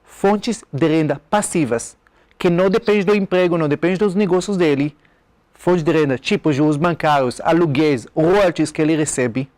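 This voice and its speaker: A man's voice: a little high, moderate pace, darkish tone, dry recording. A man from Israel with an Israeli accent, a speaker and author explaining his ideas confidently as a TV interview guest. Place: TV studio.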